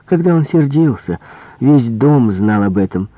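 A man voicing a chatty, indignant young French housemaid who gossips with animated exclamations. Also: medium pitch, a little slow, no room sound, voice pushed up. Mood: gossipy relish. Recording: muffled, phone-like narrow-band audio.

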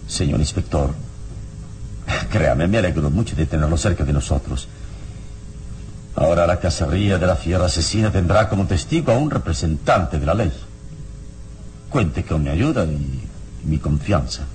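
A rumbling, noisy vintage radio recording carries a serene, mysterious hero. His voice is very low and swings expressively, with courteous, measured, theatrical phrasing and calm confidence. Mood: grave. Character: serene, enigmatic oriental-robed male hero, courteous and confident. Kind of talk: radio drama acting.

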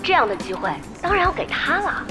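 A woman's voice: very high-pitched, big pitch swings, fairly quick, music in the background. A woman playing a sweet, playful young gamer in an audio drama, breezy and mischievous. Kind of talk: Chinese audio drama acting.

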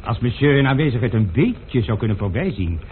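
An actor playing an unflappable, food-loving manservant with a fussy, deferential way of talking, in old Dutch radio drama style. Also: male voice, medium pitch, fairly quick, natural voice. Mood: deferential, eager. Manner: fussy, expressive, obsequious to his master. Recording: tinny, noisy old radio play recording.

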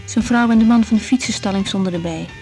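Actress as tired young woman doctor, radio drama, dark warm tone, kinda intimate and overwhelmed. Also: mid-range voice, quick pace, faint music behind, lightly acted, low-quality recording. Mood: drained, upset. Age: young adult. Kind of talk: naturalistic radio drama, diary-like monologue and dialogue.

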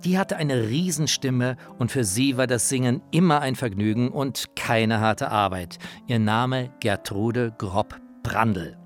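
A man's voice: medium pitch, slow, few pauses, faint music behind. A man narrating a radio feature in a colloquial, anecdotal storytelling manner.

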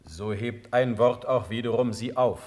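A male actor playing a commanding, imperious prince-elector in a classical radio drama, declaiming in verse with sharp, probing questions. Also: medium pitch, unhurried, close to an everyday voice. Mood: controlled.

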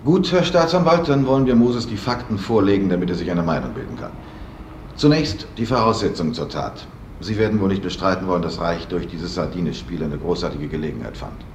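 Relentless future police investigator, low and animated, insistent radio-drama delivery. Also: male voice, distant mic, slight background noise. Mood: emphatic, explaining. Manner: insistent, deliberate, lecturing. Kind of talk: 1970s German science fiction radio drama acting. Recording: roomy studio echo.

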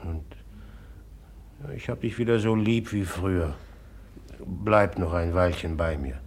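A man's voice: low-pitched, slow pace. An actor playing a jealous, tormented young man in a 1950s German radio drama, brooding and bitter with sudden agitated outbursts. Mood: yearning. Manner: brooding, swings from whispering bitterness to bursts of agitation.

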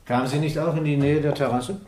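A man playing a brusque, impatient police inspector in a German radio play, with a gruff, halting interrogator's manner. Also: on the high side, fairly even intonation, darkish tone, close to an everyday voice. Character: brusque male police inspector questioning witnesses. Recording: roomy, faintly noisy studio recording.

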